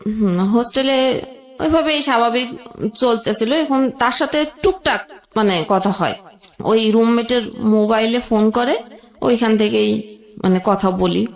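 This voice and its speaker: A young woman telling an emotional personal story as a guest, halting, with pauses and restarts. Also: on the high side, reverberant.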